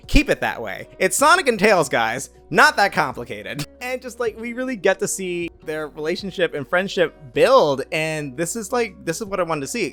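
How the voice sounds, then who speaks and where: A man with a fairly high voice and very lively, swooping intonation gives a casual video review. He talks straight to viewers in a slangy, playful way, leaning hard on words for emphasis, with light music in the recording. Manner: animated, exaggerated emphasis, playful slangy asides. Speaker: YouTube movie reviewer.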